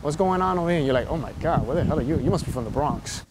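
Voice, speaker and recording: A man with a New York accent, talking in a relaxed, animated way in a documentary interview on an outdoor park bench. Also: a little high, rapid-fire, heavy background noise.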